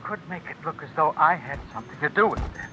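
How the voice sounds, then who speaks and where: An actor in an old radio drama playing an anxious editor being blackmailed, halting, nervous and evasive. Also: male voice, on the high side, lively intonation, pushed and bright. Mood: agitated fear. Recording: dull, noisy old radio recording.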